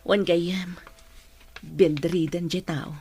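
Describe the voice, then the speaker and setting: A woman's voice: lowish voice, steady tone, slow, mellow, husky, soft background music, exaggerated voice. A woman playing a weary, aggrieved wife and mother in a radio drama. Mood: weary, resentful. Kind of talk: Filipino radio drama acting.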